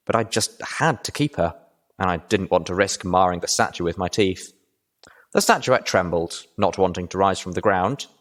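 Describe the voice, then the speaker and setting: A man reading a story aloud as an expressive audiobook narrator. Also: low voice. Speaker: audiobook reader.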